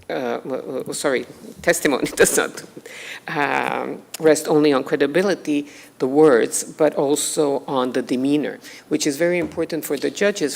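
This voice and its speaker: A woman giving a formal lecture, measured and hesitant, with frequent pauses and restarts. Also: sits low, creaky, a little mellow, a little hiss or hum. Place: lecture hall. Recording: long hall reverb.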